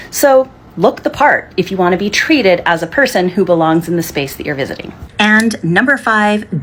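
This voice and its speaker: A woman giving structured advice in a clear, firm, instructive way. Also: mid-range voice, slight background noise. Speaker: travel advice presenter.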